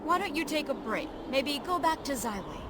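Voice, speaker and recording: A woman's voice: high-pitched, sing-song, normal speed, heavy background noise. A woman playing a wry, reassuring military officer in a fantasy video game. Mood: reassuring, easygoing. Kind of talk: scripted video game voice acting. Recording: voice under loud game music. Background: loud music.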